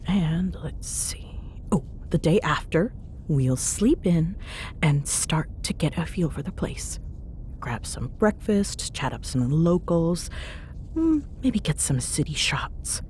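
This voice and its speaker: Low-voiced teasing young woman, acted, over rumble. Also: big pitch swings, takes it slowly, darkish tone, full character voice. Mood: relaxed, planning. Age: young adult. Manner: sarcastic, bantering, casual with sighs and murmurs. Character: teasing tsundere young woman ghost hunter, bossy but fond. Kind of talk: ASMR audio roleplay, intimate one-sided chat. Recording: clear background noise with low rumble.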